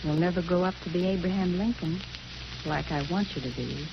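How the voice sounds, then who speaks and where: An actress playing a steady, homely, plain-spoken mayor's wife in a 1940s radio drama. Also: female voice, fairly low pitch, brisk, creaky. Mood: restrained. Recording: noisy muffled 1941 radio recording.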